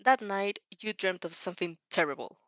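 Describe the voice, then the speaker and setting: A woman who is a non-native English speaker, hosting a casual fan fiction read-aloud with an informal, halting, giggly delivery and self-corrections. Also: medium pitch, fairly bright tone, dry recording, muffled recording. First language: not English. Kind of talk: casual reaction chat and story reading.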